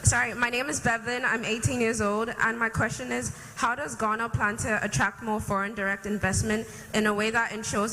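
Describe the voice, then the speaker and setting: A woman's voice: medium pitch, fairly bright tone. 18 yr old girl asking a question, kinda hesitant, lots of likes, echoey noisy room. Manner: earnest, hesitant, many likes. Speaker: audience member asking a question. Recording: noisy hall with long reverb.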